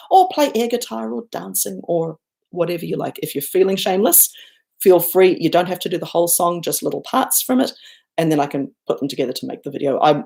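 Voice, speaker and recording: A middle-aged New Zealand woman talking casually to her viewers in a livestream from home, reading comments aloud and answering as she goes. Her voice sits fairly low and has a gravelly, fried edge. She is chatty and self-deprecating. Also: darkish tone. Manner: chatty and self-deprecating, bursts up high when thanking viewers. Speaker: YouTuber hosting a livestream Q&A. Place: home, looking out over a garden and lake.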